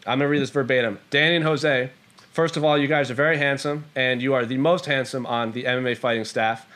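A man's voice: medium pitch, fairly even intonation, quick pace, fairly bright tone. A man co-hosting a mixed martial arts show, casually fielding fan questions and chatting about the sport. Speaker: co-host of an MMA Q&A show.

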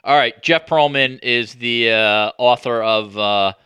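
A male podcast host with a bright, forward voice in a very dry recording. He asks his questions in a casual, wordy way, circling back to rephrase as he goes. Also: mid-range voice, slow pace, smooth, dry recording. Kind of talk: casual sports media interview.

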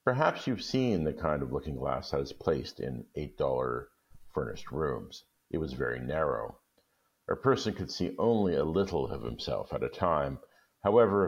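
Man, extremely deep pitch, guest story reader, dull lo-fi sound. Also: very animated, moderate pace. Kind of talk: casual chat, then reading a short story aloud. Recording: dull, muffled lo-fi audio.

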